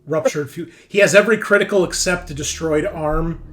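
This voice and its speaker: A man's voice: a little high, a little fast, a bit bright. A man running a tabletop roleplaying game, narrating off the cuff in a casual, vividly descriptive way. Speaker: game master.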